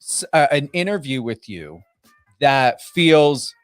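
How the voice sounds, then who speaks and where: A man's voice: a little high, sing-song, takes it slowly, clear, resonant tone, no echo, faint music behind. A man with a New Jersey accent hosting a radio show, talking in an emphatic, brash, hyped way with repetition and casual swearing. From New Jersey, United States. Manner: emphatic, brash, swears freely, repeats himself. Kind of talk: hyped radio guest introduction.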